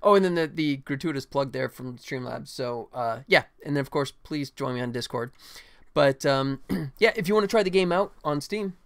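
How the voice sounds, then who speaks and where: A man's voice: mid-range voice, expressive, normal speed, clean tone. An American man from Atlanta hosting a casual livestream, chatting informally with viewers as he works on his game. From United States, Atlanta. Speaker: game developer and livestream host. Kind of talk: casual livestream chat while working.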